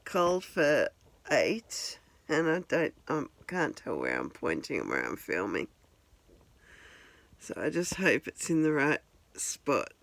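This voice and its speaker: A woman's voice: deep voice, wildly swooping pitch, slow pace, creaky. A woman in her forties rambling candidly in a casual vlog, filming herself on a phone at home. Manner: rambling, candid, self-deprecating with chuckles. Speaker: vlogger. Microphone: handheld phone camera.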